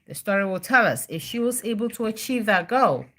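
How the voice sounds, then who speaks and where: A woman's voice: medium pitch, expressive, a little fast, a bit bright. A woman, a mother, reading a story aloud to her child with simple explanations and little check-in questions.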